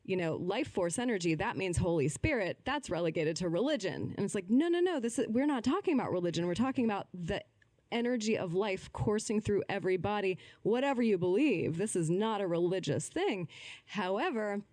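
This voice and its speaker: A woman's voice: medium pitch, animated, fairly quick, a little mellow, dry recording. Female podcast guest, mid-range voice, earnest and fluent explainer, dry close sound. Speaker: podcast guest, holistic healer. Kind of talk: conversational interview answers.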